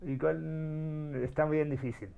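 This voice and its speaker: A man's voice: on the high side, little pitch movement, unhurried, vocal fry, narrow, tinny audio, noisy background. A middle-aged man born in Uruguay, telling his life story as an interview guest, thoughtful and searching for words. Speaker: guest, artist.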